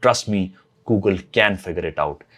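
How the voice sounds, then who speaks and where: Low-voiced man giving earnest blogging tips. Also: moderate pace, fairly bright tone. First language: not English. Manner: earnest, repeats phrases as he thinks aloud. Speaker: blogging channel host. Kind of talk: casual tutorial talk to camera.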